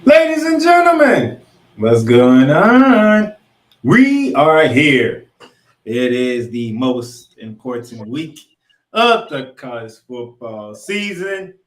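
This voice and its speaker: A man's voice: medium pitch, sing-song, takes it slowly. A man hosting a sports podcast, giving colloquial, showman-like recap commentary. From Florida, United States. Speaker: podcast host. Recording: echoey room sound.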